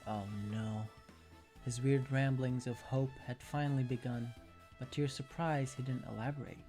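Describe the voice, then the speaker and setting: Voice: mid-range voice, level tone, moderate pace, mellow, soft background music. A narrator reading second-person fan fiction in an ASMR storytelling style.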